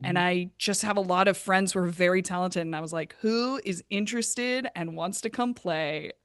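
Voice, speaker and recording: A woman's voice: lowish voice, moderate pace, no room sound. Chatty woman with a close, dry sound. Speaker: actor and theatre producer being interviewed. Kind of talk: casual interview storytelling.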